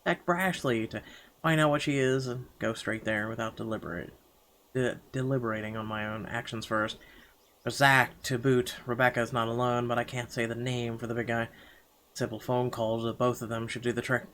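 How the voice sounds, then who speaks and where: A man reading a visual novel aloud as first-person dramatic narration, halting now and then over the words. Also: mid-range voice, fairly even intonation, fairly bright tone, slight background noise. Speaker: game player reading the text aloud.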